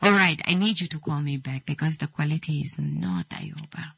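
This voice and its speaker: Low, gravelly woman. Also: big pitch swings, normal speed, fairly bright tone. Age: 30s. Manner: reflective, asks "right?" often. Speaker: host taking calls. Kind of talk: motivational talk.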